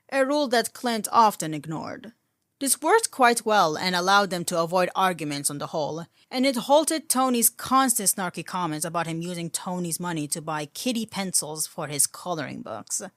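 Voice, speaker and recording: A woman's voice: lowish voice, a bit bright, dry recording, clean studio sound. A woman reading fan fiction aloud as a narrator, in a scripted storytelling style.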